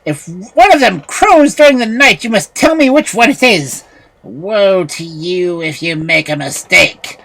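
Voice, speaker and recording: A woman's voice: lowish voice, animated, a little slow, vocal fry, fairly bright tone, dry recording, pitched above the performer's own voice, full character voice, low-quality recording. A woman voicing a menacing, commanding old witch in a storybook tale, furious and full of threats.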